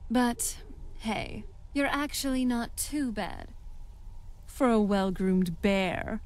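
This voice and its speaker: A woman's voice: mid-range voice, slow pace, darkish tone, lightly acted. An actress voicing a young female vampire companion in a video game, sardonic and teasing yet caring, with a dry manner. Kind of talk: video game character dialogue.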